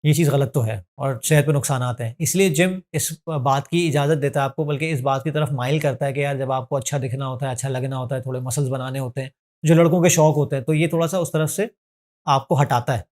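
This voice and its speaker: A man's voice: medium pitch, fairly even intonation, quick pace, a little mellow. A man, a gym trainer giving earnest fitness advice in a podcast, mixing in English phrases. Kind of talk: podcast interview answers.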